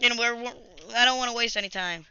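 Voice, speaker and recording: A young gamer hosting a casual video, chatting and improvising a story in a halting, rambling way. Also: mid-range voice, bright, forceful tone, clean tone, no room sound. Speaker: video host and player. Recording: dull lo-fi webcam-grade audio.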